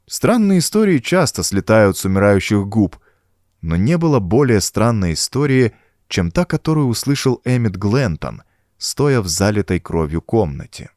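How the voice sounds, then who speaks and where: A man's voice: on the low side, big pitch swings, moderate pace, darkish tone, no room sound, studio quality. A man reading a horror story as an audiobook narrator, in a dramatic scripted style.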